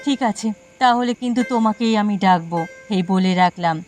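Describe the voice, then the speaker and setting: A woman playing a confident, homely village wife in a cartoon, chatting in a measured way. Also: mid-range voice, darkish tone, soft background music, lightly acted. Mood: friendly, pleased. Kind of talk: cartoon voice acting.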